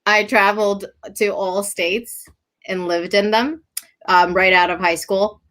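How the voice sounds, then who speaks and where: A woman's voice: mid-range voice, steady tone, measured pace, fairly bright tone. A woman from New Jersey whose first language is Japanese, a realtor being interviewed, giggly and a little coy as she answers. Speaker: guest realtor. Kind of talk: casual interview chat.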